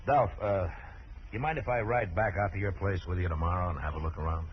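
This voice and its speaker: An American actor playing a calm, fair-minded, middle-aged frontier marshal in an unhurried, plain-spoken way, in 1950s radio western style. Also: male voice, low-pitched, close to an everyday voice. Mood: firm, reasoning. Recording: muffled, noisy old radio recording.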